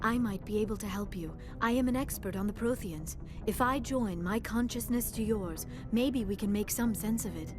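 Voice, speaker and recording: A woman playing a gentle, earnest young alien scientist in a video game, speaking formally and haltingly. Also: medium pitch, music in the background, natural voice. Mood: concerned. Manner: careful, formal speech without contractions, halting.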